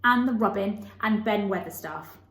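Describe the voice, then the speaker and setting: A woman's voice: mid-range voice, moderate pace, a bit bright, reverberant, close to an everyday voice. A woman voicing an earnest, eager and slightly anxious little girl in a children's storybook, with a pleading manner.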